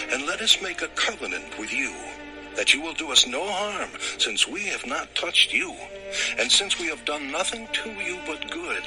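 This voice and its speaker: A man's voice: medium pitch, poor audio. An actor playing an imperious ancient king in a dramatized scripture recording, with an emphatic, declamatory delivery. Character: Abimelech, an imperious, indignant Philistine king, male. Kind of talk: dramatized scripture audio acting. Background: music.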